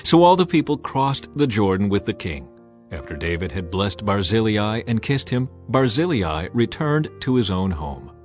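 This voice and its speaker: A man's voice: fairly low pitch, very animated, moderate pace, soft background music. A man narrating scripture as a scripted, dramatized audio reading. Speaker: Bible narrator.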